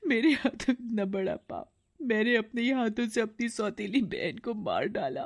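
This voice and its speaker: A woman's voice: a little high, animated, normal speed. A woman voicing a jealous, scheming young girl with an exclaiming, emotional delivery in a cartoon moral story. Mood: tearful, remorseful.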